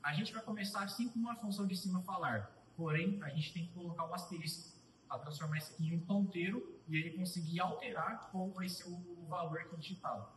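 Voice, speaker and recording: A man's voice: high-pitched, fairly bright tone, recorded from a distance, slight background noise. Young man live coding in an echoey room. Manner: casual, thinking aloud while typing, with pauses and restarts. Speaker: student presenter. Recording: roomy echo, voice off-mic.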